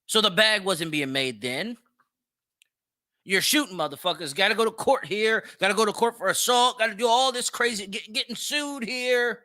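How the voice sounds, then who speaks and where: A man's voice: high voice, moderate pace, sharp tone, no echo, clean studio sound. A man hosting an opinionated solo commentary show, speaking bluntly and emphatically, swearing freely and repeating phrases for stress. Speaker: podcast host.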